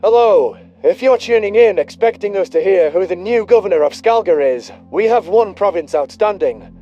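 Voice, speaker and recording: Guy doing an alien tv news anchor, high smooth mellow voice, muffled sound, music under. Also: animated, moderate pace. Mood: brisk, excited. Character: male alien TV news anchor, polished and suspenseful. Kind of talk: broadcast news announcing. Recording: dull broadcast sound, music bed.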